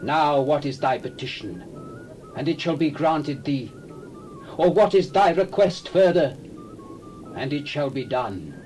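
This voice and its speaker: A man's voice: on the high side, animated, a little slow, pauses often, darkish tone, soft background music. An actor playing a grand, commanding Persian king in a Bible audio drama, regal and declamatory. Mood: regal, magnanimous.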